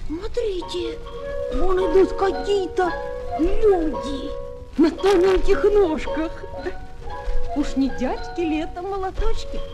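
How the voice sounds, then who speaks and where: A woman's voice: very high voice, takes it slowly, over loud music. A woman voicing a curious, kind-hearted little boy with an earnest, childlike manner in a children's audio play. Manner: childlike, inquiring, expressive.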